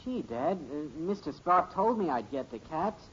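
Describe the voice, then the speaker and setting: Eager teenage son, gullible, earnest, swooping boyish delivery, old radio sitcom, muffled sound. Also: male voice, on the high side, big pitch swings, a little slow, breathy edge, full character voice. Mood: earnest, defensive. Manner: stammering, boyish protests. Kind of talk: 1950s radio sitcom acting.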